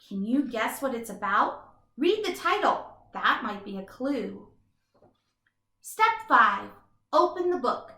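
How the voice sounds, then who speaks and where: A woman doing an expressive children's read-aloud as a teacher, with emphatic, instructive delivery. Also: a little high, slow, long pauses, reverberant. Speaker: teacher reading a picture book aloud.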